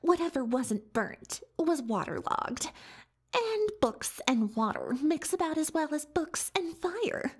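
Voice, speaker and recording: Haughty female unicorn magician, high voice, slow delivery, theatrical, boastful, cartoon-pony ASMR storytelling. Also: very animated, heavily acted. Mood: wry, dismissive. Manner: haughty, theatrical, third-person self-reference, conspiratorial asides. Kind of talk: ASMR roleplay monologue, storytelling.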